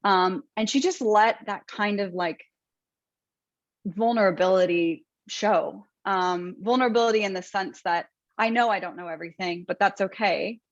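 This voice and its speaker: A woman interview guest talking thoughtfully, with many pauses, ums and restarts. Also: medium pitch, no echo. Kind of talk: conversational interview. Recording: dry, muffled lo-fi sound.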